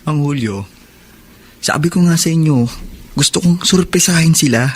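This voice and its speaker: A man's voice: fairly high pitch, moderate pace, slightly rough. A man with a Filipino accent acting as an earnest, respectful young prisoner in a radio drama. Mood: earnest, grateful.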